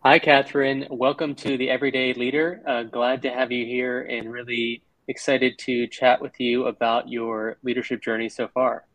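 A man hosting a podcast, reading a guest introduction and then asking questions in a measured way with hesitant fillers. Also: mid-range voice, little pitch movement, fairly bright tone, no echo, low-quality recording. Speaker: podcast host. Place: Mauritius.

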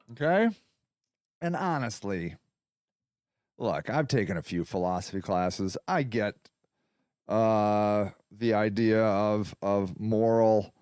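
A man hosting a podcast in a loud, profane, ranting monologue. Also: on the low side, clear, resonant tone, no room sound, studio quality. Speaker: podcast host. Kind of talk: casual monologue.

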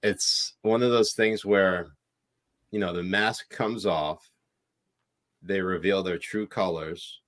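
A man with a very low voice chats about politics on a podcast. He rambles and circles back, piling on filler words as he works toward his point. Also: steady tone, slow, pauses often, a bit bright. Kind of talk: casual political chat.

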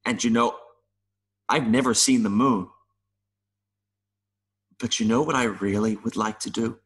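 A man playing a gentle, wistful mayfly in an audio play, speaking in an earnest, philosophical way with small hesitations. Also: on the low side, steady tone, unhurried. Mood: wistful longing. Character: gentle, wistful mayfly longing to see the world.

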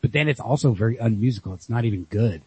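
Husky man rambling about books. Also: medium pitch, fast. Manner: rambling, thinking aloud. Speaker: podcast co-host. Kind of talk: casual literary discussion. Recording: lo-fi podcast audio.

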